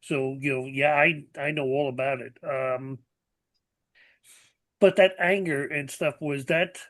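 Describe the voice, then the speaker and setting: An older man in his seventies hosts a podcast chat, his voice bright and somewhat high for a man. He talks in a plainspoken, earnest way, with plenty of "you know" and "like". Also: fairly even intonation, a little slow. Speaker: podcast host. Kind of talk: conversational interview.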